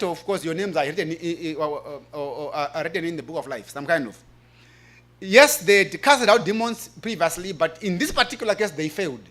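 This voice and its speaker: High voiced guy presenting at a church forum, kinda preachy explaining, repeats stuff for emphasis. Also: pushed and bright. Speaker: presenter answering questions at a religious forum.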